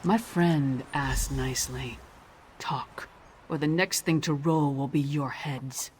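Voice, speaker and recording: A woman's voice: a bass voice, steady tone, slow, a little mellow, a little hiss or hum. A woman playing a blunt, loyal and confident Nord warrior in a video game, speaking with firm, dry self-assurance. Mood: threatening. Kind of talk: video game character dialogue.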